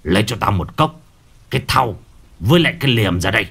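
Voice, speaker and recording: Picture a harsh old village shaman, put on by a male storyteller. He growls short commands in a deep, rough register with faint rain behind him. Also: expressive, quick pace, a bit bright, full character voice. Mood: harsh, scolding. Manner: low growling, curt orders.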